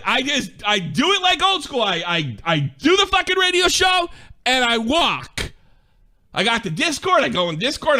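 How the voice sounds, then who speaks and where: High bright-voiced man ranting. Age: 40s. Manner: profane, indignant, emphatic. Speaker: streamer in a played clip. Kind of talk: angry rant.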